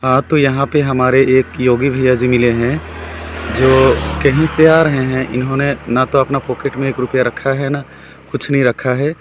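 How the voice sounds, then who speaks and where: A man with a Chhattisgarh Hindi accent, hoarse from a cold, chatting casually to his viewers while traveling outdoors. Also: mid-range voice, level tone, normal speed, noisy background. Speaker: vlogger. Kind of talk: casual vlog narration. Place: outdoors on a road.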